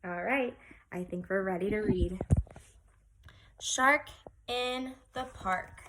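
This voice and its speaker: A woman's voice: mid-range voice, takes it slowly, background noise. A woman teacher reading aloud to young children in an exaggerated, singsong storytelling manner, often putting questions to them.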